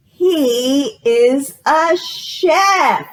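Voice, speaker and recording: A woman hosting a storytime for young children, reading aloud in an exaggerated, encouraging way and pausing after questions to invite guesses. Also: high-pitched, lively intonation. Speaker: children's librarian, storytime host.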